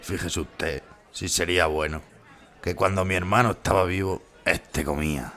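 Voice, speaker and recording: An actor playing an old retired bullfighter, blunt and wry, in an audio drama. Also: male voice, sits low, moderate pace, a bit bright, a faint crowd.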